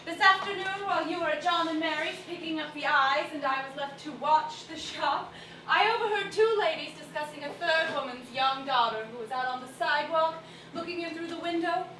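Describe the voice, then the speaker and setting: An actress playing a cheerful, witty young Victorian daughter in a stage play, playful and articulate. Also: female voice, high voice, fairly quick, close to an everyday voice. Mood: mischievous. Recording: echoey stage, distant mic, faint noise.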